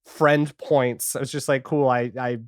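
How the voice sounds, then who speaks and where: A man's voice: medium pitch, flat delivery, a little slow, smooth, no room sound, clean studio sound. A man chatting casually as a podcast guest, enthusiastic and rambling, with lots of filler 'like'. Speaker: podcast guest, audio fiction creator. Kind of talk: casual chat.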